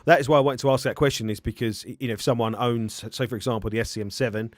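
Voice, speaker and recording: A man's voice: medium pitch, fairly even intonation, quick pace, no room sound. A man hosting a casual live-stream interview, rambling and often correcting himself as he phrases questions. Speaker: interviewer and hi-fi review host.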